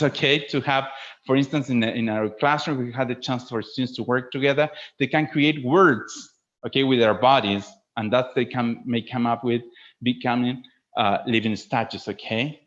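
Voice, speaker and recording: A man speaking as a teacher trainer in an informal instructional webinar, explaining in an animated way and often checking that listeners follow. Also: mid-range voice, normal speed. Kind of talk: informal instructional presentation with acted demonstrations. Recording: dull lo-fi webinar audio.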